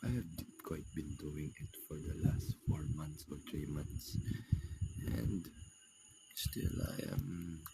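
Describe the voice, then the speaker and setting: Hoarse, croaky young man, slow and low. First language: not English. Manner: hesitant, rambling, soft-spoken. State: hoarse from a cough and dry throat. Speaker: vlogger. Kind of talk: rambling personal vlog. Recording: loud background noise.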